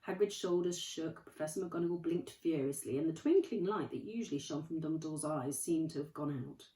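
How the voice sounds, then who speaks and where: Teacher reading a story in an echoey room. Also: female voice, low voice, brisk, warm tone, distant mic. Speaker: primary school teacher. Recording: roomy, from off the mic.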